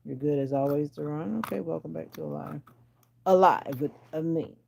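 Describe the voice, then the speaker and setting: A sleepy middle-aged woman, raspy from a sinus infection, chatting casually with viewers on a phone livestream from home and teasing them by name. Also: low voice, moderate pace, a little mellow, a little hiss or hum. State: sleepy and exhausted. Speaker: livestream host. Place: at home indoors.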